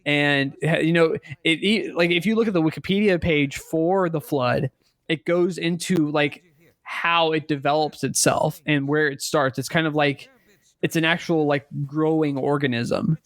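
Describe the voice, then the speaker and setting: A man's voice: on the high side, fairly even intonation, a little slow, a bit bright, no echo. A man co-hosting a podcast, talking casually in a rambling, emphatic way and repeating himself for stress. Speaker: podcast co-host. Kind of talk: casual conversational video game chat.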